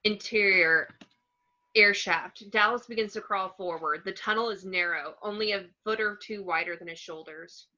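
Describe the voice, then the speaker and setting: Woman, narrator, screenplay action lines, bright sharp tone, lower pitch, dull lo-fi recording. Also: normal speed. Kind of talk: reading screenplay action descriptions, scripted narration.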